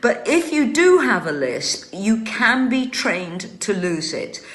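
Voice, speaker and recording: A woman's voice: medium pitch, sing-song, slow pace, fairly bright tone, roomy sound. A woman hosts a casual chat from home, answering viewer questions. She speaks with carefully trained, precise articulation. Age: 60s. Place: home room.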